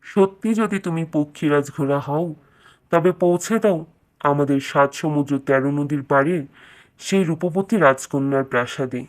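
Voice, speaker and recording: A woman's voice: low voice, moderate pace, lightly acted. A woman voicing a proud male king in a children's cartoon fairytale, speaking in a regal, declamatory way. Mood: commanding.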